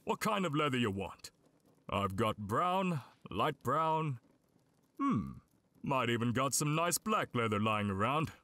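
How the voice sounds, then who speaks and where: A man's voice: mid-range voice, big pitch swings, a little slow, bright, forceful tone, a little hiss or hum. A man playing a brusque, good-humoured, folksy town blacksmith in a fantasy audio drama. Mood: jovial, salesmanlike. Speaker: voice actor.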